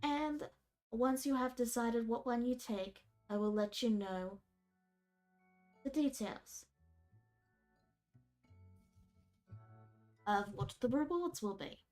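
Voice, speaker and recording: A woman voicing a weary man who speaks slowly and deliberately as he offers paid work. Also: fairly high pitch, darkish tone, faint music behind, natural voice. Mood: businesslike.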